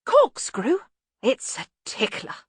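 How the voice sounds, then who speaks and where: A woman as a high, dotty, dithery male bursar. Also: huge pitch swings, slow pace, breathy edge, dry recording, studio quality, heavily acted. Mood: dotty, wavering. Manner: vague, rambling, dithery. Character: dotty, fragile, aimlessly smiling male university bursar. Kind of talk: acted audiobook dialogue.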